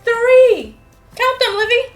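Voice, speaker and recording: A woman reading a children's storybook aloud as host, playful and animated, with questions for a child and singsong refrains. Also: very high voice, slow, echoey room, faint music behind.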